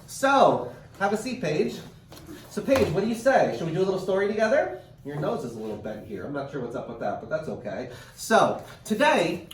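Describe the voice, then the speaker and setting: A man teaching through a virtual read-aloud, chatting encouragingly and expressively with children and parents as he presents a picture book. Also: a little high. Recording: roomy, distant mic with faint noise.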